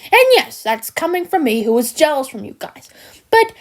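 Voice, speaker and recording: A woman voicing a smug, villainous cartoon sheep creature who taunts in a theatrical, mocking manner with drawn-out words and hesitant asides. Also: high voice, sing-song. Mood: mocking, bitter. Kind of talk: scripted character monologue for a fan voice-over.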